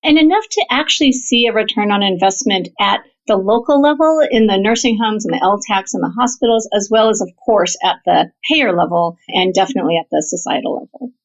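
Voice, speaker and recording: A woman's voice: medium pitch, expressive, fairly quick, few pauses. A woman physician researcher explaining her work as an interview guest, articulate, earnest and emphatic. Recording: slightly dull, lo-fi audio.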